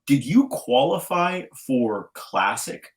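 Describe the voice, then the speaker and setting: A man's voice: mid-range voice, big pitch swings, slow pace. A man hosting an interview podcast, asking questions with enthusiasm. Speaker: podcast host.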